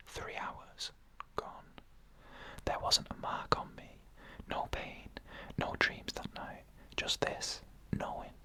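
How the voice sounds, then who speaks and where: A storyteller delivers scary ASMR narration entirely in a breathy whisper. The sound is bright and crisp-edged. Phrases come short and measured, with frequent pauses between them. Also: low-quality recording.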